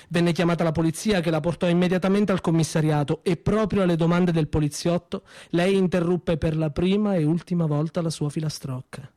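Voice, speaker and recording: A man performing a theatrical narrative monologue with expressive, deliberate phrasing and pauses. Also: on the high side. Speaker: storyteller-actor.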